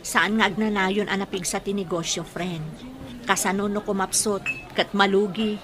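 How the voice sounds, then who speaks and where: Actress as a worried, burdened Filipino wife. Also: female voice, medium pitch, fairly even intonation, moderate pace, fairly bright tone, slight background noise, lightly acted. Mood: anxious. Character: worried, burdened wife confiding her marital troubles. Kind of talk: Filipino radio drama acting.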